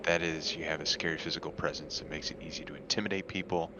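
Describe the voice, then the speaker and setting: A man playing his character in a tabletop game, eager and quick to laugh. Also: rumbling low, steady tone, background noise. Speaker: player. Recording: dull lo-fi mic.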